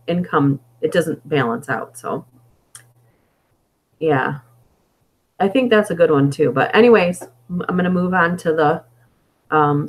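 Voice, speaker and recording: A woman with a hoarse, fading voice, chatting casually and meandering on a live stream between story readings, on a budget desktop microphone. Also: deep voice. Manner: chatty and meandering, thinking aloud. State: hoarse, losing her voice.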